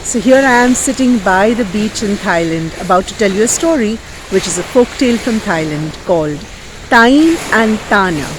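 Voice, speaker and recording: A woman telling a folktale outdoors in an expressive, repetitive storytelling manner. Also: medium pitch, normal speed, noisy background. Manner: expressive, repetitive, sound effects. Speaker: storyteller, host. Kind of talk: oral folktale storytelling to camera. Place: outdoors on a beach.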